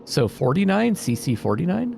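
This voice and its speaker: A man doing casual let's play commentary, thinking out loud and reading game text aloud. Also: on the high side, sing-song, moderate pace, gravelly, slight background noise. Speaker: video game player and host. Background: atmospheric game music.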